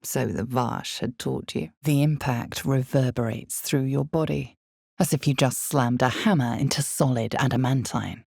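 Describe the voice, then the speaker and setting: A woman playing the ominous, all-knowing narrator of a dark fantasy game, speaking in a hushed, measured, portentous way with a low husky voice. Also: no room sound, studio quality, exaggerated voice. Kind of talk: dramatic second-person fantasy game narration.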